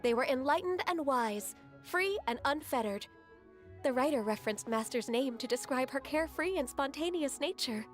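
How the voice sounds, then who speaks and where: A woman voicing a gentle, modest young half-immortal in an anime video game, speaking politely and deferentially. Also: sits high, animated, normal speed, soft background music. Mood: thoughtful. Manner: polite, soft-mannered, deferential.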